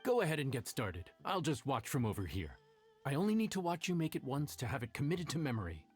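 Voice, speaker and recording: A man playing a confident, easygoing young cook in an anime-style video game, with a casual, self-assured delivery and a husky voice. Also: medium pitch, sing-song, fast talker, faint music behind, close to an everyday voice. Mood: breezy.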